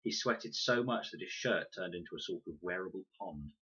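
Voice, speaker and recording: A male reader tells a children's story with lively, varied intonation. His voice is fairly low with a forceful edge, and the recording sounds slightly dull. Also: moderate pace, breathy edge. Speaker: audiobook narrator, reader. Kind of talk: expressive children's story narration.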